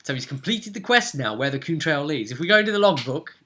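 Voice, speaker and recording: Bright-voiced man hosting a roleplay recap. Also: a little high, very animated, quick pace. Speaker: game master and show host. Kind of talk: casual recap of a tabletop roleplay session.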